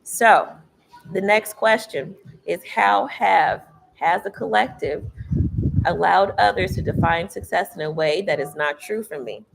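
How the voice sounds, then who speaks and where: A woman reads tarot cards in a loud voice that carries even when she tries to keep it down. She speaks in a casual, emphatic, sermon-like way and swears freely. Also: fairly low pitch, unhurried, creaky, slight background noise, low-quality recording. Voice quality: loud, carrying voice. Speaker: tarot reader. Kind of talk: casual spiritual tarot reading.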